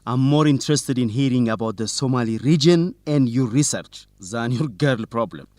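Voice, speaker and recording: A man's voice: medium pitch, normal speed, a little mellow, no echo, lightly acted. An actor playing a determined, teasing young male student reporter in an educational radio drama, in plain, simple sentences. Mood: teasing, friendly.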